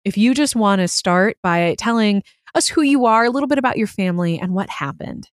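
A woman hosts an interview show with an earnest, emphatic delivery. Her voice sits at a middle pitch. The recording is dry and close, with very little room sound. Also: expressive, a little fast, no room sound. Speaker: host. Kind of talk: scripted intro and conversational interview.

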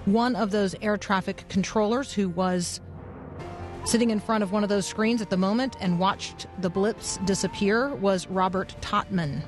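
A woman hosting a radio show, speaking earnestly and deliberately as she comments and moves the program along. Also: mid-range voice, soft background music. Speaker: radio host. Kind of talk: live radio interview and commentary.

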